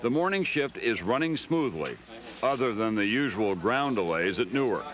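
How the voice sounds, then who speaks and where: A man's voice: medium pitch, sing-song, normal speed, crowd noise. Grave American male news narrator, slightly muffled. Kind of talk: scripted documentary narration.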